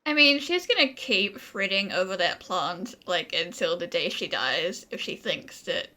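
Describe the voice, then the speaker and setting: A woman's voice: on the low side, animated, measured pace, bright, forceful tone. A woman giving casual, offhand, wry commentary as she plays a video game. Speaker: gamer commenting on a playthrough.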